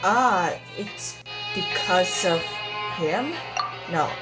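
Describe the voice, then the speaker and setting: Slow, halting woman gamer reading aloud. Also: on the low side, animated, rough recording. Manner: halting, stumbles over words while reading. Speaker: gameplay streamer. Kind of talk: reading game dialogue aloud during a playthrough. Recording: loud game music behind voice.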